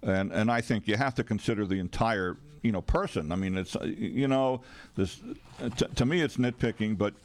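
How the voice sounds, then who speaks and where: An American man co-hosting talk radio in casual political chat, with a halting, hedging manner. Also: lowish voice. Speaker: radio co-host. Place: radio studio.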